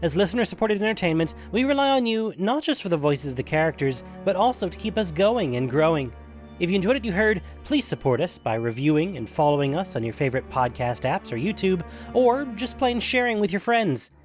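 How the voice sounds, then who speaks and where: A man's voice: high voice, very animated, brisk, faint music behind. A man narrating a scripted fantasy story as the narrator of an audio production.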